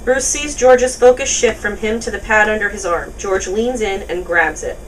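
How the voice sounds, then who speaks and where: A woman's voice: medium pitch, moderate pace. A woman reading stage directions as scripted narration at a table read. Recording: distant mic, noisy room.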